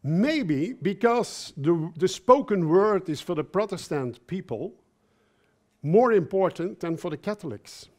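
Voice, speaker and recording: Slow-talking Belgian-raised man giving a talk. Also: sits high, expressive, a little mellow. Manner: slow, halting delivery with repeated words and joking asides. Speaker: speaker. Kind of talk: conference talk.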